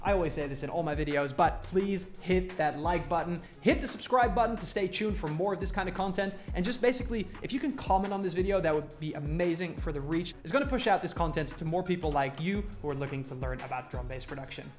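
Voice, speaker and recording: A man hosting a music production tutorial, explaining software step by step in an informal, casual way. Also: on the high side, fast, hardly any pauses.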